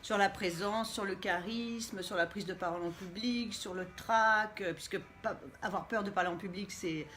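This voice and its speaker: A woman's voice: mid-range voice, normal speed, few pauses. A middle-aged woman, a guest coach talking expressively in a casual live stream. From Canada (Montréal), Italian heritage. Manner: expressive, playful, laughs easily. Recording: faint background noise.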